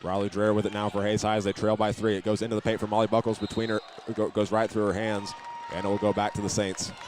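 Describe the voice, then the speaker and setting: A man calling live high school basketball play-by-play as a broadcaster from inside a gym. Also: little pitch movement, fast, darkish tone. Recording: faint gym noise behind the voice.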